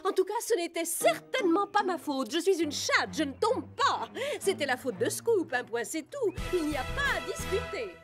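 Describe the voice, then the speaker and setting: A woman's voice: very high voice, big pitch swings, moderate pace, over loud music. A woman voicing a vain, haughty female cat in a French cartoon dub, huffy and always justifying herself. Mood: indignant. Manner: snooty, huffy, self-justifying. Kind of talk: French animated series dub acting.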